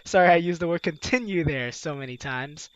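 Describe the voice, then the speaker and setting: A man's voice: on the high side, a little fast, fairly bright tone, smooth, no room sound, lo-fi sound. A man hosting a game playthrough, reading narration and dialogue aloud expressively, with casual asides. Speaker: host of a video game playthrough.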